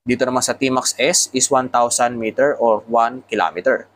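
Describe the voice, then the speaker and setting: A man's voice: mid-range voice, steady tone, normal speed. A man with a Filipino accent giving a casual product tutorial, explaining things step by step as a motovlogger. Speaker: motovlogger reviewing motorcycle intercoms.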